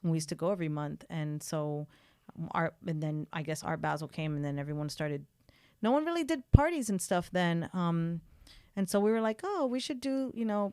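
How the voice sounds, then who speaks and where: A woman's voice: fairly low pitch, darkish tone, clean tone, no room sound, clean studio sound. A woman in her thirties from Miami, chatting casually as an interview guest with lots of filler words. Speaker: event producer guest.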